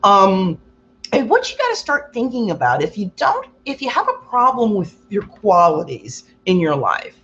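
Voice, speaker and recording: A woman with a loud, carrying voice giving a rambling, casual self-help talk as a Reiki practitioner, acting out quotes with big exaggerated jumps in pitch. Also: huge pitch swings, normal speed, muffled recording, a little hiss or hum. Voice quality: loud voice that carries. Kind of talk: casual motivational talk to viewers.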